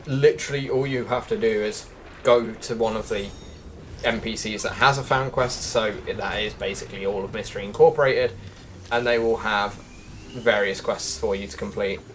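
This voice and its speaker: A man's voice: on the low side, fairly even intonation, normal speed, slight background noise. A man giving casual, chatty gaming commentary, addressing his viewers directly as a video host. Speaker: video game news YouTuber. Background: background music.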